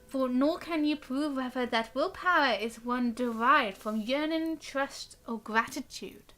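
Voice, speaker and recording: A woman game streamer giving live commentary and reading game text aloud in a chatty, expressive way. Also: high-pitched, a little slow. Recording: game music behind the voice. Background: game music.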